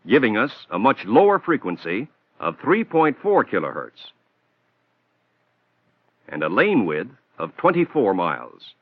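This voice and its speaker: A man giving measured, scripted technical narration for an instructional film. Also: mid-range voice, no room sound. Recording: tinny narrow-band old film soundtrack.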